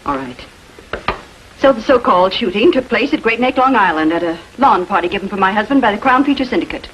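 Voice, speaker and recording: Indignant 1940s wife played by an actress, old soundtrack. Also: female voice, mid-range voice, lively intonation, quick pace, a bit bright, muffled recording, a little hiss or hum, natural voice. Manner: clipped, haughty, defensive. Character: defensive, indignant estranged wife, fiercely protective sister. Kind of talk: 1940s filmed crime drama acting.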